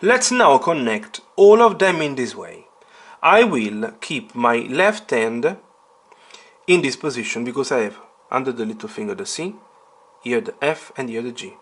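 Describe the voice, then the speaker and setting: A man giving a step-by-step piano lesson, explaining deliberately and emphatically and putting questions to the learner. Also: mid-range voice, big pitch swings, a bit bright. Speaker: piano teacher. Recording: piano playing alongside the voice. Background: piano chords.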